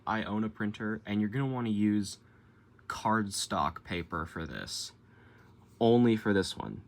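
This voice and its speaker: A man giving a casual step-by-step how-to tutorial in an informal, colloquial way, explaining as he demonstrates. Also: lowish voice. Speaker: tutorial presenter.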